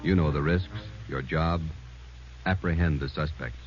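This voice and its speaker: A man's voice: extremely low pitch, unhurried. A man reading scripted crime-show narration in a grave, clipped 1940s radio style. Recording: dull vintage 1940s radio broadcast. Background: music.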